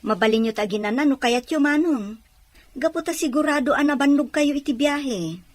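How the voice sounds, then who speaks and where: A woman's voice: high-pitched, expressive, normal speed, no room sound. A woman playing a welcoming, helpful aunt in an Ilocano audio drama.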